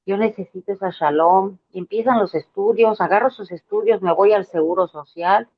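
Woman, 50s, Mexican Spanish accent, earnest, hesitant, gravelly voice, tinny phone sound. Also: mid-range voice, moderate pace. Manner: earnest and hesitant, with many fillers and restarts. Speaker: person giving a personal testimony. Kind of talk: emotional personal testimony.

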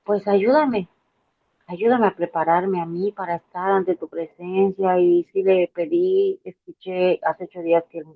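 Woman, 50s, Mexican Spanish accent, earnest, hesitant, gravelly voice, tinny phone sound. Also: fairly low pitch, steady tone, a little slow. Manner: earnest and hesitant, with many fillers and restarts. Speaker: person giving a personal testimony. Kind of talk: emotional personal testimony.

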